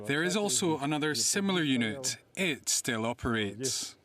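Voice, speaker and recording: A man speaks in a news interview soundbite. His pitch is average. Other voices are clearly audible behind his words. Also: lively intonation, normal speed, a crowd in the background.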